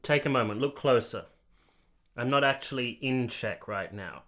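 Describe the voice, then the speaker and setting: An actor playing an earnest, articulate army captain who has been to war, in a radio play. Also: male voice, medium pitch, moderate pace, a bit bright, lightly acted. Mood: amused, explaining. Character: earnest, candid young army captain, reflective war veteran. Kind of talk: radio play narration and dialogue.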